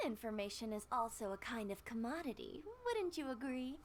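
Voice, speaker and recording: A woman's voice: on the high side, expressive, moderate pace, crowd noise. A woman voicing a polite, shrewd shopkeeper in a video game, courteous and coyly mercantile. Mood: coy, businesslike. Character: polite, shrewd female grocery shopkeeper with teasing composure. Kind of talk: game character dialogue.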